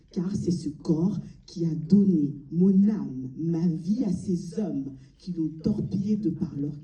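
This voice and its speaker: A woman from Mali delivering a solemn, deliberate theatrical monologue, pausing between short phrases. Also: sits low, mellow, lo-fi sound. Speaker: amateur stage performer. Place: large cinema auditorium before an audience. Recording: echoey hall, distant mic.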